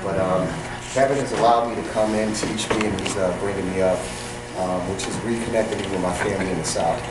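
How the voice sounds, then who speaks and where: A man from New York City introducing himself in a soft-spoken, hesitant way with fillers. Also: low voice, fairly even intonation, a little fast. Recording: echoey room, distant mic, noise.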